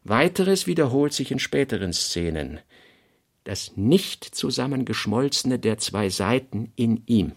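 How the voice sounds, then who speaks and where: A man reading scripted literary notes for a radio play, in measured, fragmentary phrases. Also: mid-range voice, expressive, creaky. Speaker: reader of a writer's working notes.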